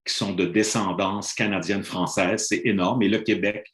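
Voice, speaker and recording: A middle-aged man presents to an online panel, his voice low and dark. He explains in a measured, lecturing way and stops often on drawn-out "euh" hesitations. He sounds roomy, as if speaking into a laptop in an echoing room over a video call. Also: steady tone. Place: room in Montreal, remote presentation.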